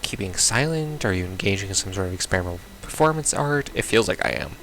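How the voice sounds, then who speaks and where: A man's voice: low voice, expressive, fast, creaky, a bit bright, slight background noise. A man playing through a visual novel, reading it aloud and commenting casually with sardonic, blunt reactions. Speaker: let's player. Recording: music behind the voice.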